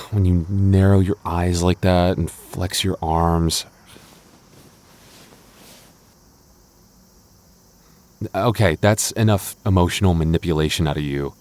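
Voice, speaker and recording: A man's voice: deep voice, fairly even intonation, moderate pace, a little mellow, close to an everyday voice. A man playing a clingy, jealous boyfriend in an intimate audio roleplay, stammering and mock-indignant but affectionate. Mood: embarrassed, smitten.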